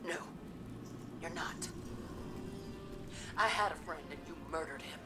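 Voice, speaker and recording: A woman's voice: low-pitched, measured pace, far from the mic, over music. An actress playing a hurt, fiery woman in a game drama, blunt, accusing and emotional. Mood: bitter. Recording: off-mic with clear background noise.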